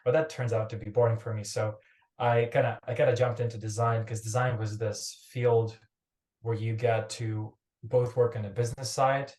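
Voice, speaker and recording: A man's voice: medium pitch, level tone, moderate pace, a little mellow. A young man from Ukraine, a startup founder talking casually as a podcast guest, rambling and restarting his phrases. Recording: roomy echoey remote recording.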